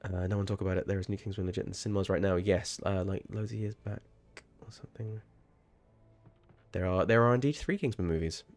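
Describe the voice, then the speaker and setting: A man's voice: sits low, brisk, a little mellow. A man chatting casually while streaming a game, rambling and sweary, with sudden startled outbursts. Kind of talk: casual livestream chat.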